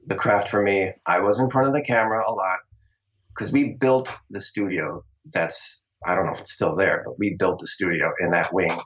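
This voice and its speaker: Low-voiced middle-aged man, lo-fi. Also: moderate pace, fairly bright tone. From Long Island, New York. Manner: chatty, laughs often, quotes himself. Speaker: interview guest, actor. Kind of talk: casual storytelling interview. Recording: dull, lo-fi sound.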